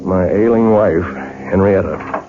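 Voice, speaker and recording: A man playing an older, henpecked, obsessive clockmaker who narrates his own haunted tale in deliberate vintage radio drama style. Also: low-pitched, background noise. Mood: brooding. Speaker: narrator and lead character. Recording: tinny old radio broadcast, music behind.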